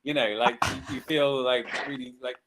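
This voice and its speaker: A man's voice: medium pitch, level tone, moderate pace, crowd noise, rough recording. A man hosting a casual group discussion, speaking informally and encouragingly. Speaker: host.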